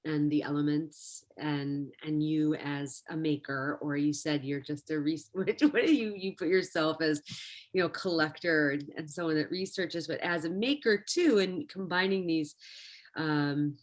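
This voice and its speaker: A woman's voice: low voice, measured pace. A woman interviewing on a video call, chatting casually as a curator, effusive and thinking aloud. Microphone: video-call microphone.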